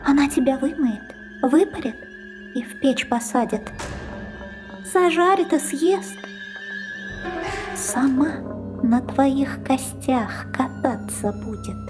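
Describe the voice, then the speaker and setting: Storybook mouse, squeaky and chirpy, very high, with music under it. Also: female voice, huge pitch swings, slow pace, long pauses, full character voice. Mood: urgent. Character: tiny helpful female mouse, kindly and urgent. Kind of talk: storybook reading. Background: background music.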